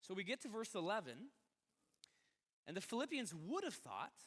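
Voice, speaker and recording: A man's voice: high voice, very animated, normal speed, a bit bright. A man preaching a sermon as a pastor, talking in a conversational but emphatic way with rhetorical questions. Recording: reverberant hall.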